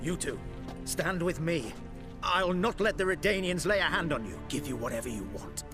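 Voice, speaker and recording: A man's voice: fairly high pitch, expressive, normal speed, close to an everyday voice. A man playing a proud auction-house owner cornered by his brother in a video game, emphatic and dramatic. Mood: urgent bargaining. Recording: music behind the voice.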